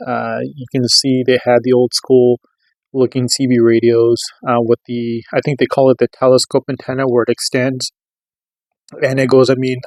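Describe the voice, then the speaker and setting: A man's voice: medium pitch, darkish tone, no echo, rough recording. A middle-aged American man giving a casual product review, explaining things in an informal, rambling way. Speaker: radio reviewer.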